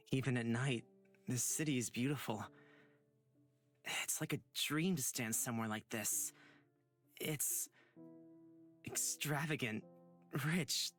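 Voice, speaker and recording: Game role: soft-hearted young wanderer, mid pitch, unhurried, halting, music beneath. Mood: awestruck. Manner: halting, tentative. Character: gentle, hesitant, awestruck young traveler. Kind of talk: visual novel voice acting. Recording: music under the voice.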